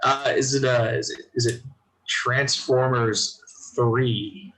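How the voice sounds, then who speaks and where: A man's voice: medium pitch, fairly even intonation, slow, fairly bright tone, slight background noise, rough recording. A man playing a trivia game, guessing hesitantly and stumbling over fillers. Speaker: game show contestant. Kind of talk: casual chat.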